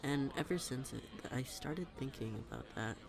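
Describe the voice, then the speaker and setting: A man playing a smitten young best friend in a roleplay audio, talking to the listener in a halting, playful, nervous way. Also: mid-range voice, creaky, close to an everyday voice. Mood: tender, confessing. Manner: halting, giggly, playful, addressing the listener directly. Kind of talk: scripted roleplay audio, intimate conversational acting. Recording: public place with crowd murmur.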